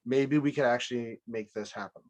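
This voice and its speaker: A man's voice: mid-range voice, a little fast. A man hosting a casual game show over a video call, playful and teasing, with rambling restarts. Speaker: host.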